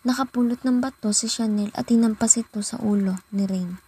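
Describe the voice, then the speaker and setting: A woman's voice: fairly high pitch, steady tone, a little fast, mellow, breathy edge. A young woman giving scripted narration as the storyteller of a student radio drama. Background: background music.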